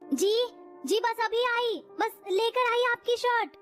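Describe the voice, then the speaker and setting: A meek, pleading young wife. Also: female voice, extremely high pitch, slow pace, fairly bright tone, faint music behind, full character voice. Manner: pleading, apologetic.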